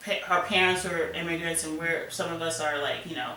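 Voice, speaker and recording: A woman's voice: lowish voice, steady tone, pushed and bright. An American woman hosting a casual interview, chatty and upbeat. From United States. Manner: chatty, upbeat, quick to laugh. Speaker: interview host. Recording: echoey room, distant mic, faint noise.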